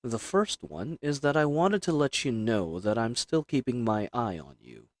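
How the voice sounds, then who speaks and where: A man playing a cool, confident, flirtatious assassin in a roleplay audio drama, with a smug, teasing, measured delivery. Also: medium pitch, big pitch swings, no echo, lightly acted. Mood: teasing, warning.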